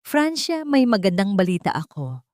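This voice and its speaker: A woman's voice: medium pitch, big pitch swings, moderate pace, darkish tone, dry recording, clean studio sound, lightly acted. A woman voicing a loyal, earnest male police friend in audiobook dialogue.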